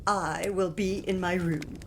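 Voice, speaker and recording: A woman acting a dignified, reproachful married lady with a measured, formal manner in an audio play. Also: fairly low pitch, steady tone, a little hiss or hum. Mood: dignified, wounded.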